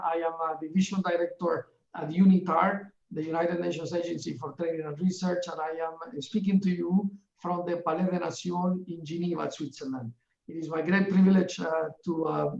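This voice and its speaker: A middle-aged man from Ecuador, chairing a webinar in a formal, earnest opening address, halting often with fillers. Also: on the high side, gravelly, darkish tone. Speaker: webinar chair, UN division director. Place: office in a large UN building. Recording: echoey, distant and dull-sounding.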